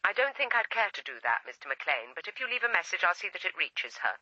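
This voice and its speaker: An actress playing a haughty, suspicious English housekeeper, clipped and icily formal, heard through a telephone filter in a radio drama. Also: female voice, lowish voice, big pitch swings, fast, pushed and bright, no echo, exaggerated voice. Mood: frosty, suspicious. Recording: thin, muffled telephone line.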